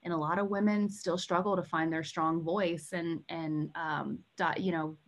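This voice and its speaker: A woman in her thirties with an American accent, speaking in an animated, informal way as a guest in a casual interview. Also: on the low side, normal speed. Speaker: podcast guest, sales coach.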